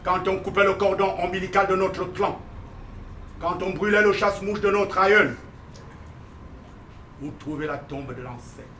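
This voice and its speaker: A man's voice: high voice, normal speed, long pauses, bright, forceful tone, a little hiss or hum. A man giving a theatrical poetry recital in a solemn, dramatic, declamatory manner. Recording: roomy echo, faint music behind.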